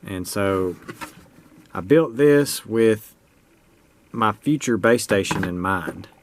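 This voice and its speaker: American man, lowish pitch, casual unscripted show-and-tell, folksy, plain-spoken hobbyist presenter. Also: animated, slow pace, slight background noise. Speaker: hobbyist presenter demonstrating a homemade radio project.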